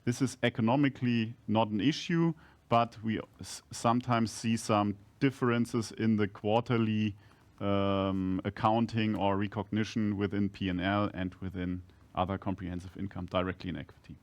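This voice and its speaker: Niski męski głos, mówi z wahaniem. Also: male voice, fairly low pitch, steady tone. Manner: halting, with broken-off phrases. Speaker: company executive answering analysts. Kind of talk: explanatory earnings-call answers.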